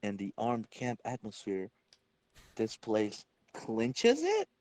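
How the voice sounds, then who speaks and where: Man performing young male superhero, comic-book monologue, wisecracking, lively varied pitch. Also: slow, no room sound, exaggerated voice. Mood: suspicious, pondering. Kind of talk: dramatic comic-book reading.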